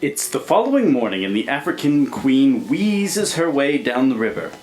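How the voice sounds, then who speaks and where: A man's voice: mid-range voice, big pitch swings, moderate pace, darkish tone, faint music behind, lightly acted, poor audio. A man narrating a radio play in a dramatic, scripted storytelling style. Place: live stage performance.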